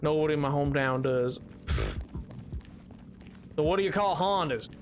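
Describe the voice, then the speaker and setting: A man's voice: a little high, moderate pace, background noise. A man with a Southern-style American drawl, chatting casually and ramblingly with viewers as a livestreamer. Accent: Southern-style US drawl, put on. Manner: rambling, hesitant explanations. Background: music.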